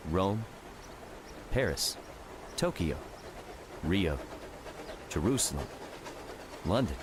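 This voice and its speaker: A man reading scripted fiction narration as an audiobook narrator. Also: low-pitched. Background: music.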